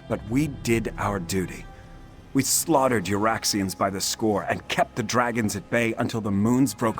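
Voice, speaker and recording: An actor playing a gruff, dutiful military officer in a fantasy game, with a brisk, businesslike delivery. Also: male voice, fairly low pitch, lightly acted.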